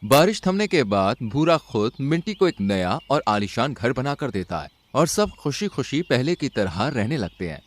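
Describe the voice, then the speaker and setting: A man's voice: medium pitch, very animated, moderate pace, hardly any pauses, no room sound. A man narrating a cartoon story in a dramatic scripted style. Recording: rain and outdoor noise behind.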